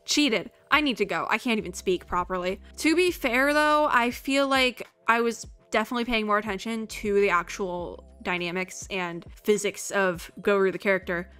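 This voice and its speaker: A young woman giving casual, excitable reaction commentary as a video host, often repeating herself. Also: mid-range voice, normal speed, hardly any pauses, clean tone.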